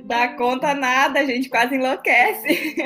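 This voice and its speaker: A woman's voice: high-pitched, steady tone, slow, pushed and bright, faint music behind. A woman in her thirties from Porto Alegre with a strong gaúcho accent, chatting casually as an interview guest, laughing easily and using plenty of fillers. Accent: Porto Alegre (gaúcho) Portuguese. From Porto Alegre, southern Brazil. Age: 30s. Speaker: guest, court officer and study mentor.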